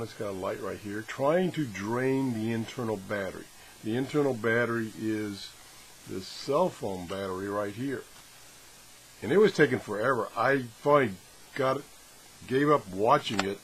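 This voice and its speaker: A man talking casually and off the cuff as he tests a product, thinking aloud with a self-deprecating, hands-on manner. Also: mid-range voice. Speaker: product reviewer. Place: home workshop, indoors. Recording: clearly noisy workshop recording.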